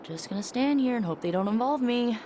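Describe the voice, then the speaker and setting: A woman's voice: on the high side, expressive, fairly quick, dark tone, natural voice. Woman doing chill friendly young sidekick gal in a game, informal, faint noise behind. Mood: awkward. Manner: informal, conversational. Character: casual, friendly, supportive young woman ally. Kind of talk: video game voice acting.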